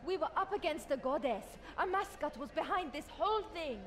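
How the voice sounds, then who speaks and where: An actress playing a headstrong young daughter in a video game, indignant and firm. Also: female voice, high-pitched, animated, brisk, a bit bright, slight background noise. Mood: defiant, angry. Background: music.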